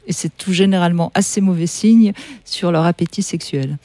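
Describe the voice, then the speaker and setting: A man's voice: high-pitched, moderate pace, a little mellow, dry recording. A man hosting a radio talk show, teasing his guests and often tagging his sentences with "hein". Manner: teasing, tags lines with "hein". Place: radio studio.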